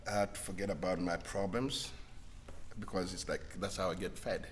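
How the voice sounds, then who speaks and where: Deep-voiced Rwandan man, halting speech. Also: steady tone. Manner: halting, restarts phrases, laughs often. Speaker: singer-songwriter guest. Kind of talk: casual livestream conversation. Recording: faint background noise.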